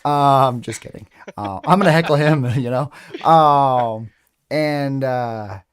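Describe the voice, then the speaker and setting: A man's voice: medium pitch, slow pace. Conversational middle-aged man hosting online. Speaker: host of online tutorial show. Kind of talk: casual livestream chat. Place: indoor room, video call. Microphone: headset.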